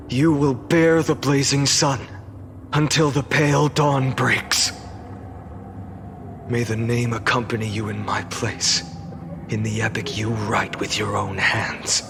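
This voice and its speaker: Solemn, slow-spoken young male hero. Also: medium pitch, steady tone, over music. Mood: solemn farewell. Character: weary, defiant young male hero, scornfully resolute. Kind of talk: dramatic game cutscene acting.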